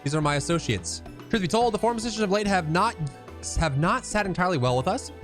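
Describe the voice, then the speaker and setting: Man putting on an earnest, high-pitched lady scholar. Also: lively intonation, fast, faint music behind. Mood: courteous. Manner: formal, courteous, bookish.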